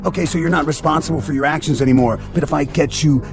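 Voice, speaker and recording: A man's voice: fairly high pitch, quick pace, music in the background, natural voice. An actor playing a dutiful, suspicious junior spaceship crewman with an earnest military manner in a radio drama. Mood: accusing, urgent.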